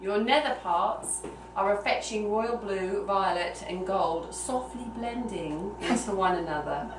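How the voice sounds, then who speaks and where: A woman's voice: mid-range voice, unhurried. A woman reading a story aloud in a live storytelling style, recorded in a room at a small gathering. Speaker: reader. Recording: noisy roomy live recording, distant mic.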